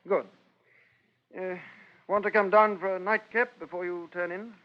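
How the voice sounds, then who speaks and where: An actor playing a breezy, teasing upper-class British older brother in a 1950s radio drama, with a jaunty, clipped manner. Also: male voice, sits high, fairly even intonation, normal speed, natural voice. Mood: cheerful. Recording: muffled vintage radio recording.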